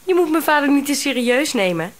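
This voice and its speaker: An actress playing an unruffled, playful daughter in a radio drama, talking in a breezy, teasing manner. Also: female voice, sits high, big pitch swings, a little fast. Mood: amused. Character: amused, unruffled, playful daughter of an eccentric man.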